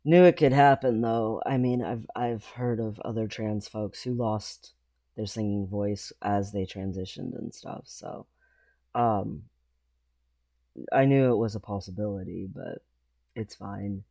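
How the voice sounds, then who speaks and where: A man with a low, mellow voice talks slowly and haltingly in a casual vlog. He rambles and pauses often. The sound is dry and close. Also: steady tone, no room sound. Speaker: vlogger.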